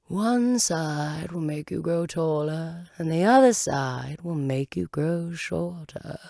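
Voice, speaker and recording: Lady doing a testy storybook caterpillar, really slow and curt, low and creaky, kinda snooty. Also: expressive, mellow, dry recording, studio quality, full character voice. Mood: languid, curt. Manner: slow, drawn-out, emphatic and curt. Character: haughty, testy, languid talking caterpillar.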